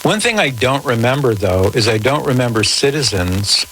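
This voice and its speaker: A man hosting a radio call-in show, answering in a measured, articulate, conversational way with formal wording. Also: medium pitch, sing-song, a bit bright. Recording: noisy radio broadcast with static.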